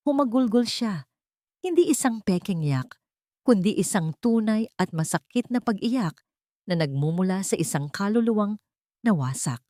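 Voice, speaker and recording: A woman narrating a scripted first-person drama in a measured, solemn and emotive way. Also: mid-range voice, animated, dark tone, dry recording, clean studio sound. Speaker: audio story narrator.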